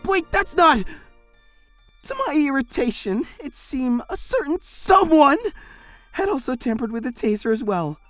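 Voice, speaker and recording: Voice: high voice, sing-song, unhurried, a bit bright, dry recording, faint music behind, full character voice. A performer narrating in first person as a harried prison warden, frantic and exasperated, in a fan audio drama.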